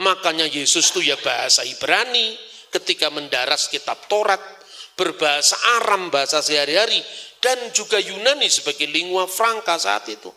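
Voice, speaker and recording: A man with a Javanese accent giving an animated religious lecture, asking rhetorical questions and slipping into Javanese phrases. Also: a little high, moderate pace.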